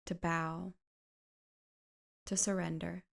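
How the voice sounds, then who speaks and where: A woman leading a guided meditation in a soft, gentle, soothing way, with unhurried phrases that turn breathy at the ends. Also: lowish voice, level tone.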